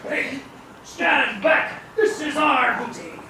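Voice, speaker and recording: A blustering pirate captain guarding treasure, played by a student in a puppet show. The voice is high and takes its time, boastful, coming from far off in an echoey hall. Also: slow pace, sharp tone, heavily acted. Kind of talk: live puppet show acting. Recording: echoey hall, distant mic, noisy.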